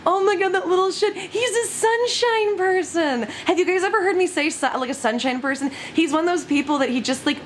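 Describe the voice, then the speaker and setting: A woman's voice: very high-pitched, fairly quick, hardly any pauses. A young woman vlogging from home, her voice scratchy from just waking up, rambling casually with lots of giggles. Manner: giggly, rambling, frequent laughs and swearing. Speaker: YouTuber. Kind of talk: casual vlog chat.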